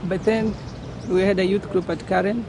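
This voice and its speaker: A man's voice: high-pitched, steady tone, moderate pace, creaky. A man in his twenties, a youth coordinator telling his story steadily in a documentary interview. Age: 20s. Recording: outdoor street noise with passing traffic.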